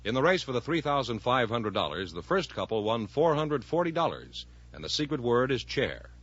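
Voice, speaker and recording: A muffled vintage radio recording of a male announcer. His voice is bright and fairly high, with animated swings of pitch in 1950s quiz show style. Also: moderate pace, slight background noise.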